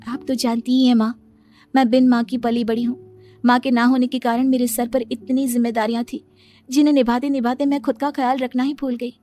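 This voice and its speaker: A woman's voice: fairly high pitch, flat delivery, a little fast, warm tone, soft background music, close to an everyday voice. A woman voicing a meek, dutiful young daughter-in-law in a cartoon family story. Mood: gentle, wistful.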